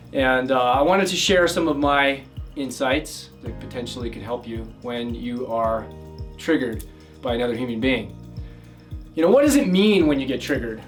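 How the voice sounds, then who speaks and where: Loud, energetic male love coach. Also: medium pitch, far from the mic. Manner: loud, energetic, talks with many fillers and restarts. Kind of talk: direct-to-camera advice talk.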